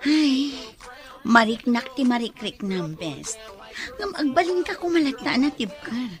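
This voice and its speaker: A woman's voice: high voice, very animated, a little slow, soft background music. An actress playing a chatty young woman's best friend who gives advice, in an Ilocano radio drama. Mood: encouraging.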